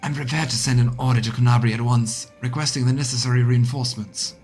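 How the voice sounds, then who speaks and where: A serious military captain, voiced by a male streamer, with a medium-pitched voice and formal delivery. He briefs his commander in a fantasy game over soft music. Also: steady tone, quick pace, close to an everyday voice. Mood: serious, urgent.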